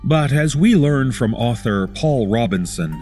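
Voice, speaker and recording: A man reading scripted narration as a podcast host, in a measured, deliberate way. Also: mid-range voice, lively intonation, darkish tone. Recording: music under the voice. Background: background music.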